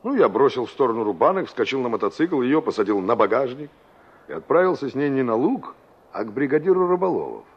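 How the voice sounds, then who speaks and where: An actor playing an impatient, hot-headed young carpenter, brusque and defensive, in a Soviet radio drama. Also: male voice, medium pitch, very animated, fast talker, breathy edge. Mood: exasperated. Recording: muffled 1960s radio play recording.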